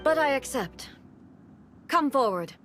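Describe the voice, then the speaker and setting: A woman's voice: sits high, animated, slow pace, over music. An actress playing a stern, imperious female ruler in a video game, speaking formally and solemnly, with cold command. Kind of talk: scripted video game acting.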